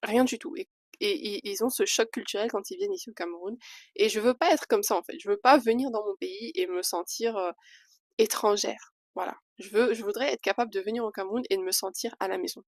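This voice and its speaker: A woman's voice: mid-range voice, a little fast, dry recording. Chatty woman talking to camera, dry sound. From Switzerland. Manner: chatty and candid, with hesitant fillers. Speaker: vlogger. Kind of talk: casual talking-to-camera vlog.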